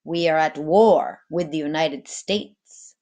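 A woman's voice: deep voice, slow, warm tone. A woman voicing a tall, proud male ship captain who talks in a grand, bookish way. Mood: grave.

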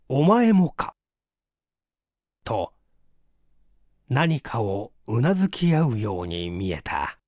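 Animated male literary narrator. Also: medium pitch, no echo, clean studio sound. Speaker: audiobook reader, stage actor.

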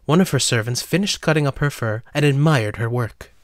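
A male narrator reads a scripted story for an audio drama. His voice sits at a middle pitch and is recorded close and dry. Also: fairly quick, dry recording.

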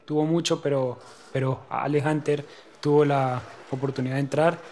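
A man playing a professional footballer giving a measured, slightly hesitant post-match interview in a game. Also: a little high, steady tone, darkish tone, natural voice.

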